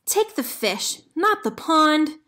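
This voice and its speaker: A woman's voice: high voice, sing-song, takes it slowly, sharp tone, pitched above the performer's own voice, heavily acted. A woman voicing a helpful, folksy fisherman in a children's storybook, emphatic and easily alarmed.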